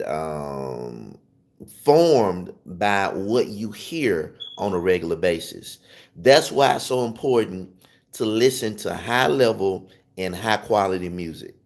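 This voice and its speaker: A man with a Southern US accent giving an informal music lesson, emphatically repeating and rephrasing his points. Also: lowish voice. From Memphis, Tennessee, southern US. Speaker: music teacher. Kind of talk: informal instructional talk to viewers.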